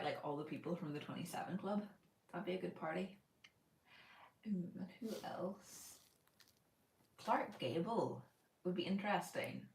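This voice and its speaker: A woman's voice: sits low, vocal fry, darkish tone, echoey room, far from the mic, slight background noise, poor audio. A woman from Northern Ireland, raised in Spain, hosting a casual book-channel livestream from home and thinking aloud with plenty of fillers. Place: home room by her bookshelf.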